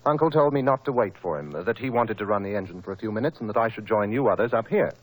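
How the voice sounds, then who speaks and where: A man's voice: lowish voice, animated, quick pace, low-quality recording. A man playing a scheming young nephew with clipped speech, in a 1940s radio mystery style. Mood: polite, composed. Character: scheming young male murderer, nephew posing as cooperative. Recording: old 1940s radio recording.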